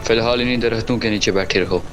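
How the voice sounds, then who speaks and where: A man's voice: lowish voice, fairly even intonation, quick pace, lightly acted, poor audio. An actor playing a grave, commanding male leader of a refugee caravan in an audio drama. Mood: solemn. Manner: solemn, commanding, gives orders. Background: music score.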